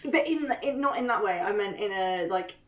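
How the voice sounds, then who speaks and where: A woman with a medium-pitched voice takes part in a tabletop game. She talks casually, thinking aloud and putting tentative questions to the group. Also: expressive, normal speed, a bit bright, reverberant, a little hiss or hum. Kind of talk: casual improvised game discussion.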